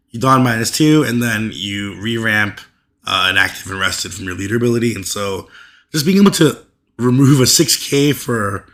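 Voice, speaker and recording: A man hosting a casual card game deck overview, his voice rough and fading from allergies, talking loosely with frequent ums and uhs. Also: mid-range voice, fairly bright tone. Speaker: card game content creator.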